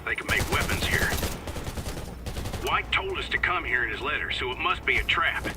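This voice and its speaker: A man voicing a steady male commander who guides the player over the radio, with a folksy drawl. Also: on the high side, normal speed, far from the mic, heavy background noise, lightly acted. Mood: calm. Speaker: radio handler guiding the player.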